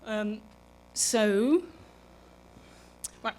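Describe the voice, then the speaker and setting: Female lecturer, informal and halting, interrupts herself, addressing a public event on a microphone. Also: medium pitch, a little mellow, a little hiss or hum, low-quality recording. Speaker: public programs manager and lecturer. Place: live public lecture at an aquarium. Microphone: microphone for live streaming.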